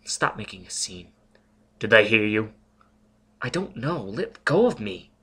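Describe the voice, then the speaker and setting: A man reading aloud, voicing a lonely, nervous young woman telepath who teaches a class, in a prim, high-strung manner. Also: very animated, measured pace, bright, forceful tone, slightly rough, exaggerated voice. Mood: flustered.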